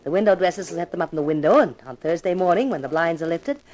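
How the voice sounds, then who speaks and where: Low-voiced, bossy actress as woman producer. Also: animated, darkish tone, natural voice. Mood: brisk, explaining. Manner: brisk, sardonic. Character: brisk, bossy, sardonic female television producer. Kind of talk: 1950s radio drama acting.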